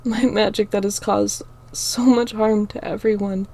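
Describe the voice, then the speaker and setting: Sobbing young demon girl, read by a woman: breaking, pleading, low and rough. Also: level tone, a little slow, mellow. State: crying.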